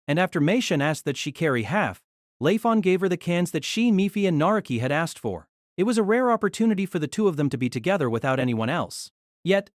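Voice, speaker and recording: A narrator telling a fan audio drama story in an expressive storytelling manner. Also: medium pitch, no room sound, studio quality.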